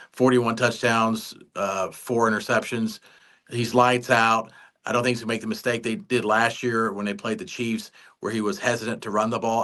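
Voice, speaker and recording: A man co-hosting a sports podcast, giving his picks in casual chat and slowing down to stress numbers. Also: lowish voice, bright, forceful tone.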